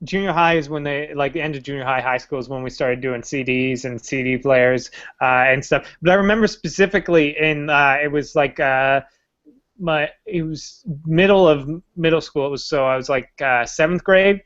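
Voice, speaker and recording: A man's voice: fairly high pitch, moderate pace, a bit bright. A man in his late twenties with a slightly raised voice tells jokey, profane stories in casual podcast chat, laughing along. The audio is dull and lo-fi. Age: late 20s. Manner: joking, laughing, profane storyteller. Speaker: podcast co-host.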